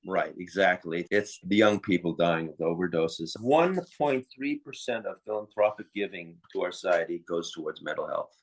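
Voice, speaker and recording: A man's voice: low-pitched, moderate pace, few pauses, poor audio. An American man talking conversationally as an interview guest, explaining things in an opinionated way. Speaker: interview guest, psychiatrist and author.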